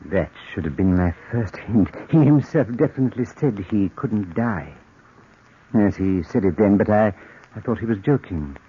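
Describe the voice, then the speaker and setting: An actor playing a desperate middle-aged murderer who narrates his crime in hushed, brooding tones, in 1940s radio acting style. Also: fairly low pitch, animated, moderate pace, a little hiss or hum, close to an everyday voice. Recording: tinny old radio recording.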